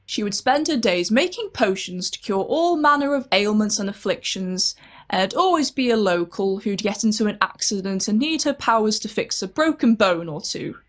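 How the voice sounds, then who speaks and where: A woman's voice: medium pitch, expressive, normal speed. A woman reading a scripted story aloud as a dramatic narrator.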